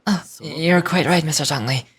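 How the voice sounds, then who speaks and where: An actor playing a polite, excitable and deferential male companion in a game, with an animated, courteous delivery. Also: a little high, normal speed, lightly acted. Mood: pleased, eager.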